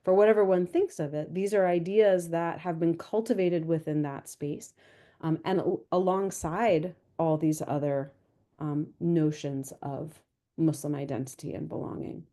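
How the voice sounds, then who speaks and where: A woman history professor giving a measured, explanatory answer on an academic panel. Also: sits low, fairly even intonation, dark tone.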